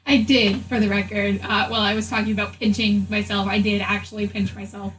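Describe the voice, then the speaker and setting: A woman's voice: medium pitch, level tone, brisk. A woman playing a tabletop game, switching casually between in-character talk and her own. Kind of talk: casual in-character role-play. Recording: distant mic, faint room noise.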